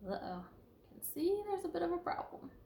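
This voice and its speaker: A woman reading a children's picture book aloud with expressive emphasis and chatty asides to listeners. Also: extremely high pitch, a little fast, creaky, slight background noise. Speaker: storybook reader. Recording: echoey room.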